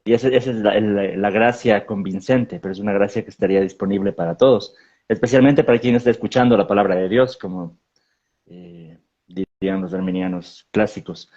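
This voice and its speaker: A man, a pastor, explaining theology in a measured way in a panel discussion, often repeating words as he thinks. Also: fairly low pitch, darkish tone, dull audio.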